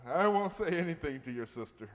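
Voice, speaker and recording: A man acts a blustery middle-aged uncle from a radio comedy, pompous yet soft-hearted. His voice sits fairly high and swoops widely as he hems and haws. It all rings in a reverberant hall. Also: animated, a little fast, lightly acted. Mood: indulgent, curious. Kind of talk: old-time radio comedy acting.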